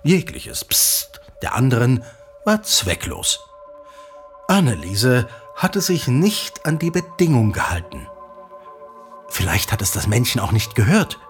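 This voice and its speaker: A man playing a playful storyteller who tells legends in a radio play, dramatic and deliberate with savoured pauses. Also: mid-range voice, sing-song, soft background music. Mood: suspenseful, amused. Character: playful, theatrical male storyteller spinning local legends.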